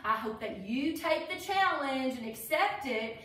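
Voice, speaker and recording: Woman, children's read-aloud host. Higher-pitched, unhurried, enthusiastic with young listeners. Very echoey, distant mic. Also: animated, fairly bright tone. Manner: addresses children, enthusiastic asides. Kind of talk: children's picture book read-aloud with asides. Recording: echoey room, distant microphone.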